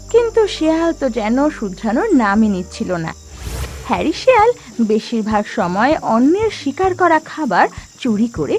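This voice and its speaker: A woman narrating an animated fable for children as a scripted storyteller. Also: on the high side.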